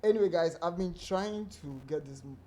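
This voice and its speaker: A man's voice: high-pitched, fairly quick, dark tone. A Ghanaian man hosting a podcast, interviewing a guest with playful, teasing banter. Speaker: podcast host. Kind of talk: casual interview chat.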